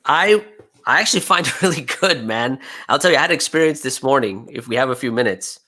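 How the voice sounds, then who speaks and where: A man's voice: medium pitch, expressive, brisk, sharp tone. A young American man talking casually on a live stream, chatty and laughing often, full of "you know" and "right". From United States. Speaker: guest speaker.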